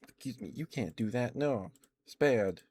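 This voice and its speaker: A man hosting an ASMR livestream, speaking softly and intimately and slipping into whispers. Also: mid-range voice, expressive, normal speed, no echo.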